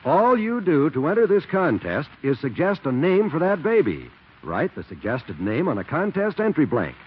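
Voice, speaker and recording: An American man pitches like a 1940s radio announcer on a dull old recording. His high, husky voice moves with lively salesman emphasis. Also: moderate pace.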